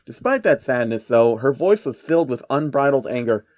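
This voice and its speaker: A man's voice: mid-range voice, normal speed, no room sound, dull audio. A man reading fan fiction as narrator, theatrical, with amused asides. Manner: animated, theatrical.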